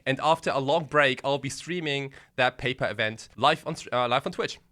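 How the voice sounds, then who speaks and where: A man's voice: medium pitch, moderate pace, pushed and bright. A man co-hosting a podcast in casual, slangy, blunt conversation. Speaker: podcast co-host. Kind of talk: casual chat.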